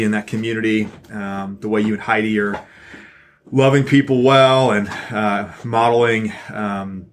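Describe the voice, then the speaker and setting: A man co-hosts a church podcast in a fairly low voice. He rambles warmly and fills pauses with plenty of uh and um. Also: a little hiss or hum. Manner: rambling, warm encouragement, frequent fillers. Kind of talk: casual chat.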